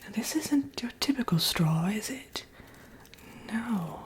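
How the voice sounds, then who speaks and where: A woman voicing a vain, sly wicked witch in a breathy, whispered ASMR roleplay, chatting coyly as if with an old friend. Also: mid-range voice, lively intonation, slight background noise. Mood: appraising, soft. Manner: theatrical, coyly boastful, doting, slow and murmuring.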